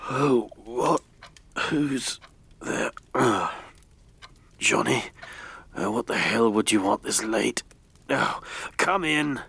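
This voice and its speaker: An actor playing a sardonic, jumpy grave robber in an audio drama, swinging from muttered asides to startled exclamations. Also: male voice, medium pitch, slow pace, gravelly, long pauses, pushed and bright, slight background noise, heavily acted. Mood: jumpy, frightened.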